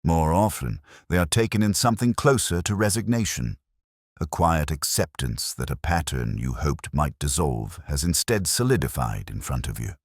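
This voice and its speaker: A man narrating as a brooding, world-weary police inspector recounting a case in measured, solemn, literary first person. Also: a bass voice, dry recording, clean studio sound.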